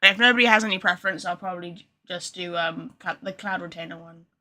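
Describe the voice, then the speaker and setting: Bright-voiced woman livestreaming a game. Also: fairly low pitch, fast talker. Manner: chatty, informal, thinks aloud. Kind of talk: casual livestream chat with viewers. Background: game music.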